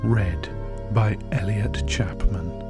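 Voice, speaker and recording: A man announces credits in a scripted read. His voice sits fairly low and moves very slowly, with loud classical music swelling around it.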